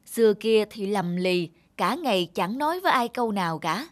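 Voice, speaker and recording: A woman voicing characters in an animated moral story for children. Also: mid-range voice, moderate pace, no room sound, clean studio sound, close to an everyday voice. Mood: chatty. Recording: music under the dialogue.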